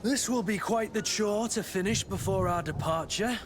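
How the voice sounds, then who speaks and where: An actor playing a blunt, world-weary soldier companion in a video game, dry, plain-spoken and a little formal. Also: male voice, sits high, normal speed, noisy background, lightly acted. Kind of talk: video game drama acting.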